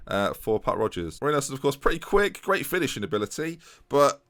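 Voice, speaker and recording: A young man giving chatty, excitable commentary over a video game, often tripping over his words and joking at himself. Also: mid-range voice, fairly quick. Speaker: gaming YouTuber. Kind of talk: casual let's-play commentary.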